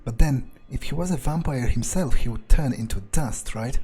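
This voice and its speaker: A man playing a wary vampire in a video game, thinking aloud in a halting, muttering way. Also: medium pitch, mellow, close to an everyday voice. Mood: musing, wary. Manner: halting, musing, muttering with hums. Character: wary male vampire muttering his thoughts while exploring. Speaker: gameplay streamer playing a character. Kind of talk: in-character roleplay commentary, thinking aloud.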